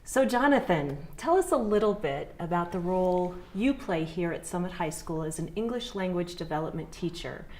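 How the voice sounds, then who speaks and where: Local TV host, woman, lowish voice, sympathetic and encouraging. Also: animated, normal speed, hardly any pauses, a little hiss or hum. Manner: warm, sympathetic questioning. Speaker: interviewer host. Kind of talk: local TV interview. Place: school, indoors.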